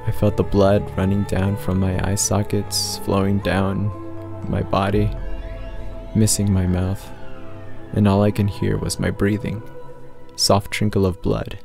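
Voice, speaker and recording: A man's voice: on the low side, monotone, unhurried, creaky. A man voicing a tormented, blinded unicorn pony who recounts his past in an intense, dramatic first-person story reading. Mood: somber, haunted. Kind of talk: dramatic reading of fan fiction. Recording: loud music under the voice. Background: prominent background music.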